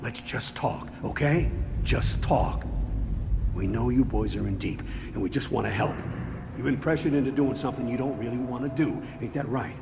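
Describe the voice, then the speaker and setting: Actor playing a gravelly aging veteran cop father. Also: medium pitch, moderate pace, background noise, close to an everyday voice. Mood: calming, measured. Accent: American. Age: older. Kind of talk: dramatic video game cutscene acting.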